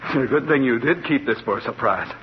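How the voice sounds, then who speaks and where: A man's voice: medium pitch, fast talker, natural voice. Man acting a curious, wondering doctor, muffled radio. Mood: astonished. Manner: hesitant, wondering. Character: curious, astonished male doctor visiting his old professor. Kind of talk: vintage radio drama acting. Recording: muffled, hissy old radio recording.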